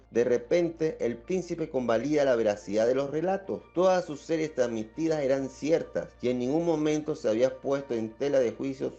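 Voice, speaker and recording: Mellow male documentary narrator, slightly muffled. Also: medium pitch. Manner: steady, even-paced narrating tone.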